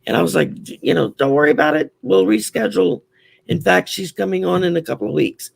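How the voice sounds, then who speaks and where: A man with a Southern US accent and a growly, gravelly voice, chatting openly as a guest on a call. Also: a little high, fast talker, dry recording. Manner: chatty, open, storytelling. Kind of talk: casual chat.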